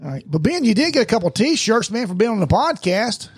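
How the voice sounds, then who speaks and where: A man's voice: sits high, very animated, a little fast, breathy edge, dry recording, studio quality. A man, co-hosting a casual podcast chat and teasing his guests. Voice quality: nasal. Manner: joking, teasing banter. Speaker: podcast co-host.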